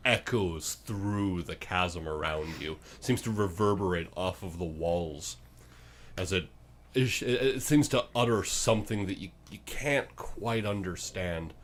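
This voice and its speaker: A low-pitched man acts as game master on a tabletop role-playing show. He improvises descriptive narration with a dramatic, halting delivery.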